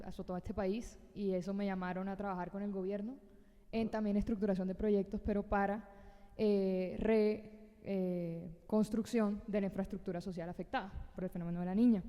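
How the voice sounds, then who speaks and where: A woman with a Barranquilla Colombian accent introducing herself in a relaxed, chatty way. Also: medium pitch, in a large hall, highs cut off. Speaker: panelist. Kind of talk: short personal introduction on a panel.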